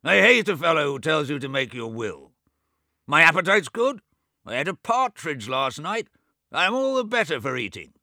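A man voicing a gruff, ailing rich man near eighty, who grumbles and boasts in a raised, complaining voice. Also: on the high side, big pitch swings, normal speed, sharp tone, dry recording, clean studio sound, voice pushed up, exaggerated voice. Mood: querulous. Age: 80s.

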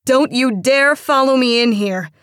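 A woman voicing an angry, bitter and dismissive jilted woman in an audiobook story, with a sharp, biting delivery. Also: on the low side, takes it slowly, no echo, studio quality. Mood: furious.

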